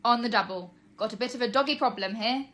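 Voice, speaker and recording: Woman playing a bellowing, brisk fire chief. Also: a little high, natural voice. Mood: commanding. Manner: loud, bellowing, clipped orders. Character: brisk, commanding, kindly male chief fire officer. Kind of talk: children's storybook character voice.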